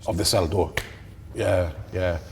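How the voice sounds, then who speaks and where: A sixty-year-old man, a former serviceman, giving a documentary interview in a husky voice. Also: deep voice, steady tone, measured pace, darkish tone, a little hiss or hum. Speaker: interviewee, former Royal Marine and police officer.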